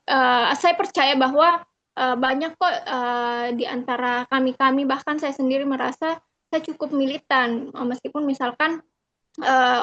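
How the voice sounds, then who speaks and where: A woman's voice: high-pitched, a bit bright. A young woman speaking earnestly on a video call, hesitating often with fillers as she reflects in a discussion. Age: young adult. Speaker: online forum participant. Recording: dull lo-fi video call audio.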